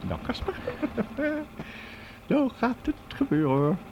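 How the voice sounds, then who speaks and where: A man playing a fussy, excitable old professor who mutters, stammers and repeats himself, in a comic radio play. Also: very high-pitched, extremely slow, highs cut off, noisy background, heavily acted. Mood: pleased.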